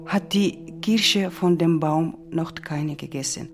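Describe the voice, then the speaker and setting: A woman's voice: low voice, takes it slowly, a little hiss or hum. A woman from Georgia with a Georgian accent, telling a personal story in a radio feature. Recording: music under the voice. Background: prominent music.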